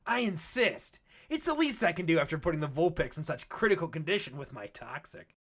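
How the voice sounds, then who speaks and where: A man voicing a calm, generous, apologetic creature in a comic dub, polite and reassuring. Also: on the high side, brisk, breathy edge, heavily acted. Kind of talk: animated comic dub acting.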